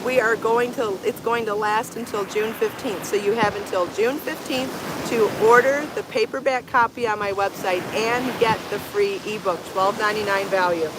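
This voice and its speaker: A middle-aged woman hosting a casual livestream, rambling enthusiastically and repeating herself, with a slightly hoarse voice. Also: medium pitch, fairly even intonation, normal speed, background noise. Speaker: show host and crochet book author. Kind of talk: casual livestream chat to viewers. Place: outdoors on a sandy beach by the sea. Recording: outdoor recording with loud ocean surf. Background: waves and surf.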